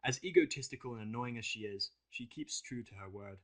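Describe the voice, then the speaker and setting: A man's voice: medium pitch, fairly quick. Casting: male narrator, middle register, for scripted first-person audio fiction.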